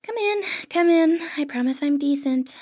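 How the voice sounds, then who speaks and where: A sly female thief from a fantasy ASMR roleplay, acted by a woman. Her voice sits fairly high and is very dry, with no room around it. She talks with wry confidence and a flirtatious, teasing edge, like someone used to running the scheme. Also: animated, moderate pace, a little mellow, dry recording. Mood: playful, flirtatious.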